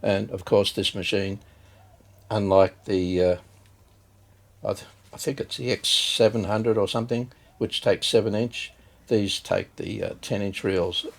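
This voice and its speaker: A man giving a casual, unscripted hands-on demonstration as a hobbyist video host, hesitant and halting as he thinks aloud. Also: on the low side, fairly even intonation, vocal fry.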